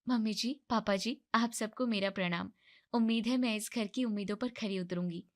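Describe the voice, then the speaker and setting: A woman voicing a gentle, polite young daughter-in-law who reasons calmly in a cartoon moral story. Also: mid-range voice, moderate pace, close to an everyday voice.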